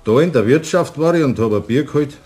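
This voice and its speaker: A man's voice: medium pitch, lively intonation, fast, a little mellow, natural voice. An actor playing a hearty, gullible tradesman with a Bavarian accent, folksy and jovial, in a radio play. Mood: bewildered. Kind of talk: German radio play acting.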